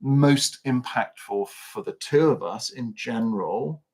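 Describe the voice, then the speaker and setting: A man's voice: medium pitch, unhurried, a little mellow, slightly rough. An English man co-hosting a podcast livestream, chatting casually and reflectively, often laughing. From England. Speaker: podcast co-host.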